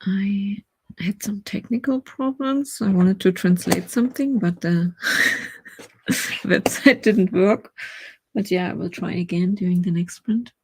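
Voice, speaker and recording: A woman's voice: medium pitch, a little slow, darkish tone, slight background noise. A middle-aged German woman with a German accent, chatting casually and rambling as she hosts a writing livestream, often laughing.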